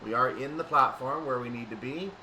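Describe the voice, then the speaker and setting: Man commentating over loud steady noise. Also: mid-range voice, brisk. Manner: methodical, narrates each action step by step. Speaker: gaming video host. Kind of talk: casual live train simulator commentary. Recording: distant mic with loud steady background noise.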